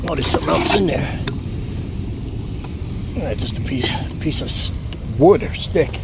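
A man's voice: a little high, expressive, unhurried, gravelly. A man, chatting to viewers and exclaiming at each find as he magnet fishes at a city pond, recorded on a chest-mounted action camera. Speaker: magnet fishing vlogger. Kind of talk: casual running commentary while magnet fishing. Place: outdoors at a city pond edge. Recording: outdoor camera with loud wind and water noise.

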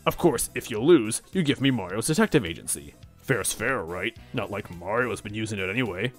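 Man voicing a booming, swaggering monster king, pitched up. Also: sing-song, fairly quick, soft background music. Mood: sly, goading. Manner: casual slangy speech with swaggering showmanship. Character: huge, bombastic, manipulative male monster king with a grumbling streak.

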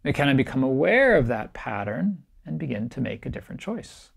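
A middle-aged man with a pitch that sits in the middle of the male range and a heavily gravelly texture. He teaches meditation and gives a keynote in a calm, reflective way, gently pressing his points. He speaks to camera in a small, bright room. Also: brisk. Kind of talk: recorded keynote talk. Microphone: camera framed at chest height, facing the speaker.

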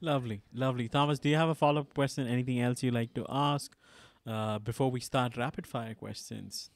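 A man hosting a podcast in an audio chat room, upbeat and quick to praise his guests. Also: mid-range voice, steady tone, dry recording. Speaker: podcast host.

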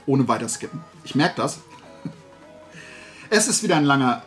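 A man's voice: mid-range voice, moderate pace, soft background music. A man, chatting to camera in a casual, opinionated, rambling and emphatic way as a video host. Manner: animated rambling, colloquial, emphatic. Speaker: YouTube host of a toy collecting channel. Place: small indoor room lined with shelves of toys.

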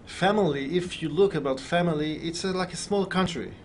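A man's voice: fairly high pitch, a little fast, slight background noise. A man in his thirties from Israel with an Israeli accent, answering in conversational, non-native English as an interview guest. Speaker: film director, interview guest. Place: TV studio.

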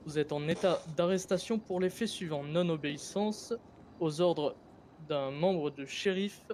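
Un homme qui joue un policier dans un jeu de rôle en ligne improvisé, au ton formel et procédurier. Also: male voice, on the high side, a little slow, a little mellow, a little hiss or hum. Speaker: roleplayer playing a police officer. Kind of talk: improvised online game roleplay.

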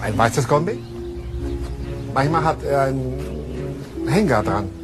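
An actor playing a hesitant witness who answers in short, clipped phrases in a German crime radio drama. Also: male voice, medium pitch, lively intonation, slow, music in the background. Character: hesitant male witness giving terse descriptions.

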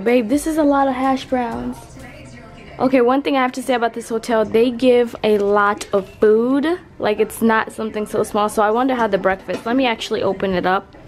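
A woman's voice: fairly high pitch, a little mellow. A young woman filming a casual vlog, talking in a chatty, slangy way to viewers and her partner while walking through a hotel room with a handheld camera. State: voice raspy from just waking up. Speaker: vlogger.

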